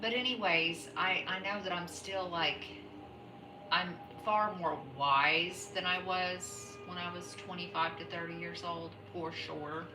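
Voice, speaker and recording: Low-voiced middle-aged lady rambling through a knitting livestream, off the mic in an echoey room, music behind. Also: steady tone, unhurried, distant mic. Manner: rambling, chatty, self-interrupting. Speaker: craft livestream host. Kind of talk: casual chat while knitting. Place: home.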